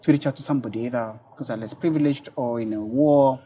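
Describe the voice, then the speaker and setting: A middle-aged man from Kenya hosting a television studio interview, asking quick, earnest questions into a lapel mic. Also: mid-range voice, dark tone. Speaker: talk show host.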